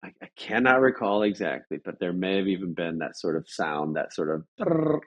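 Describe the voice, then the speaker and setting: Low-voiced man, halting casual storyteller. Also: lively intonation. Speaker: interview guest, drummer. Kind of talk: casual anecdote in an interview.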